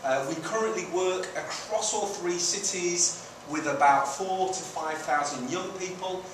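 A man's voice: high-pitched, measured pace. A middle-aged man from Manchester and Italy giving an informal presentation as a charity founder, with rhetorical questions and emphatic repeated phrases. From Manchester, England, and Italy. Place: indoor community centre meeting room. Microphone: distant camera at the back of room. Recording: very echoey room, distant mic, noisy.

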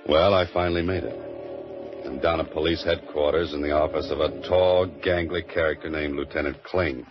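A man's voice: very deep voice, steady tone, brisk, music in the background. An actor playing a cool, dry-witted male mystery writer who sleuths, in 1940s radio drama style. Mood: wry. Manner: dry, wisecracking. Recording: muffled 1940s radio broadcast.

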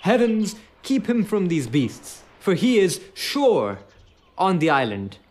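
A man's voice: high-pitched, wildly swooping pitch, takes it slowly, a little mellow, exaggerated voice. A man playing a loyal, earnest old royal counsellor in a Shakespearean radio play, with theatrical delivery. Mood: earnest, wary.

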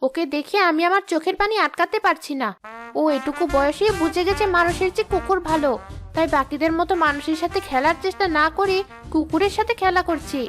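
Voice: very high-pitched, fairly quick, fairly bright tone, soft background music. A performer voicing a stern, proud female ghost schoolteacher who lectures and scolds in a children's cartoon. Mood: proud, solemn.

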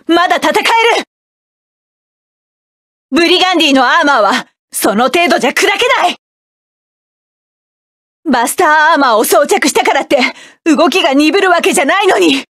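Proud hardened mech pilot woman, high voice, clipped barks, dry studio sound. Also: no room sound. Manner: sharp, self-assured, clipped combat barks. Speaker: game character. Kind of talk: game battle voice lines.

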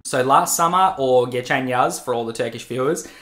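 A man's voice: medium pitch, normal speed, over loud music. A man, giving a blunt, sweary casual film review from a small room at home. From Australia.

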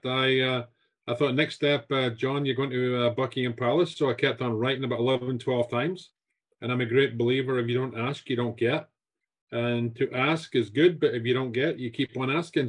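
A Scottish man in his sixties tells his story with lively enthusiasm, often doubling up words as he goes. His voice sits at an ordinary pitch and comes through a home video call. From Scotland. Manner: animated, repeats words, gestures with hands. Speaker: guest chef. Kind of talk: storytelling interview answers. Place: home room with shelves.

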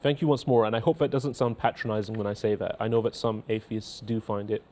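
A man in his thirties with a faint Scottish accent, a cleric in a TV studio reading out and answering viewer letters in a measured, earnest way. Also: fairly low pitch, fairly even intonation, mellow, a little hiss or hum. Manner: measured, earnest, repeats words when starting sentences. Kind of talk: studio talk show, reading letters aloud. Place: indoor TV studio.

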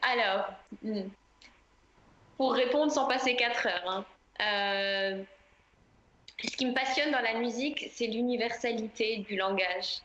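A woman singer answering interview questions in a relaxed, chatty way, with many fillers. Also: a little high, measured pace, pauses often, roomy sound, dull audio, slight background noise. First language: French.

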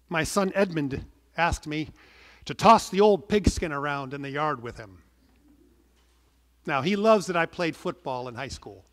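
A middle-aged man preaching a sermon from the pulpit, earnest and measured, stressing some words and letting phrase endings fall soft. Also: sits high. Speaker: pastor. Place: large wood-panelled church, indoors. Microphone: pulpit microphone. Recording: long church reverb.

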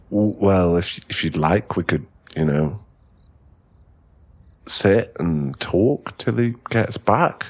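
A man's voice: low-pitched, no echo, heavily acted. A man acting a shy, stammering young boyfriend in an ASMR roleplay audio drama. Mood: awkward, shy. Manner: halting, stammering, repeats words. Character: young male millionaire boyfriend, shy, later tipsy and clingy.

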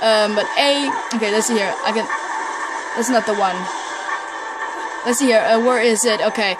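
A young woman giving casual, rambling commentary while playing a video game, with many pauses and fillers. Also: a little high, very noisy. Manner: chatty, rambling with many ums and laughs. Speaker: gamer playing through a game. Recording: talking over loud game music.

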